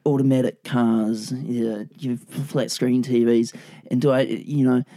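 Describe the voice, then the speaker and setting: A man co-hosting a podcast, chatting about a film in a loose, rambling, jokey way, his voice raspy and sleepy from the late hour. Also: medium pitch, little pitch movement, unhurried, mellow, no echo. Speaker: podcast co-host. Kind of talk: casual film review chat.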